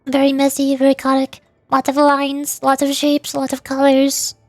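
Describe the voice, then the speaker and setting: A woman's voice: high voice, completely flat delivery, moderate pace, dry recording. A woman livestreaming a video game with casual, chatty commentary, thinking aloud as she plays. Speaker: VTuber game streamer. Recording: game music behind. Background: faint game music.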